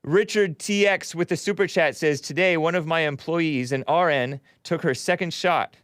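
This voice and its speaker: A man hosts a call-in show, arguing scripture and reading viewer messages aloud. His voice sits fairly high and sounds smooth and clear. The recording is dry and close. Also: moderate pace, a bit bright, dry recording. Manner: argumentative, quotes scripture, chuckles while reading.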